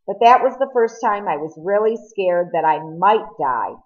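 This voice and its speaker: A woman voicing an earnest, remorseful teenage boy in a storybook, confessing in a serious, halting manner. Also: mid-range voice, lively intonation, muffled recording, natural voice.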